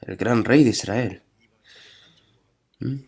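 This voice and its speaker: A male preacher delivers a sermon at a middle pitch. He mixes scripture readings with retold stories. He keeps checking in with his listeners through short asides and asks them rhetorical questions. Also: slow pace, gravelly, darkish tone, husky.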